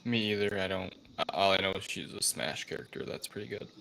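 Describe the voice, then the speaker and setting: Bright, crisp-edged man with a lowish voice. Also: brisk, a little hiss or hum, poor audio. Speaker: podcast panelist. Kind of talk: casual movie review chat.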